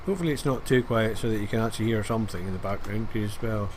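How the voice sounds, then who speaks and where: A man's voice: lowish voice, fast, background noise. A man giving rambling, self-deprecating commentary over a farming game, full of fillers. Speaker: gaming video creator. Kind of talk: casual gameplay commentary.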